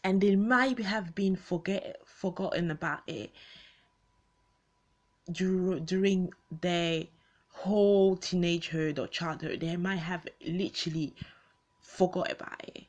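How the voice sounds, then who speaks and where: A woman in her late twenties speaks in a low register at an unhurried pace. She talks without a script to an online audience, conversationally, circling back to rephrase and putting questions to the listener. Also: fairly even intonation. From born in Congo, grew up in Belgium, moved to the UK as a teenager. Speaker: video host speaking to followers. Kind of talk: unscripted personal talk to an online audience.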